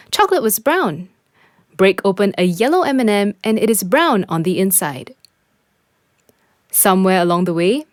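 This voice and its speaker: A woman's voice: medium pitch, no room sound, clean studio sound. A woman reading a scripted personal reflection as a podcast host.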